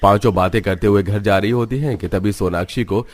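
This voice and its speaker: Guy narrating a cartoon story, scripted read, lowish voice. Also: fairly quick, a little hiss or hum.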